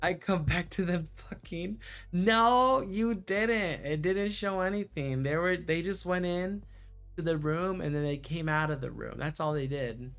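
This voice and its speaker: A streamer reacting excitedly to a visual novel and bantering with chat. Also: medium pitch, unhurried, faint music behind. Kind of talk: live game commentary.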